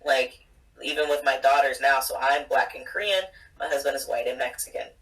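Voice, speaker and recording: A mother in her thirties, a guest on a casual fan chat, telling stories in a blunt, animated way. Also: rumbling low, fairly quick. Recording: distant mic.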